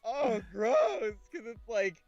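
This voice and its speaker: A man's voice: extremely high pitch, slow pace, dull audio. A man casually reading a game aloud and chatting about it with slangy, sweary reactions. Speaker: let's-play host reading a visual novel aloud. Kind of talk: casual co-host chat and narration of a game.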